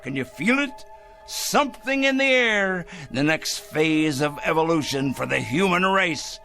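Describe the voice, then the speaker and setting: A man's voice: a little high, lively intonation, a little slow, gravelly, bright, forceful tone, soft background music, heavily acted. An actor playing a paranoid, smug middle-aged man in a 1960s TV drama, talking theatrically to his pet bird. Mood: exultant. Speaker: fanatical letter-writing crank.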